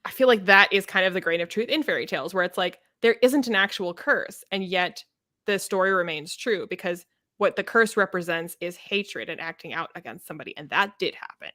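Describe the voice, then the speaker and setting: A woman co-hosting a podcast, talking casually about books and retelling things in an informal, expressive way. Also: on the low side, a little fast, dry recording, clean studio sound. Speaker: podcast co-host. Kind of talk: casual book discussion chat.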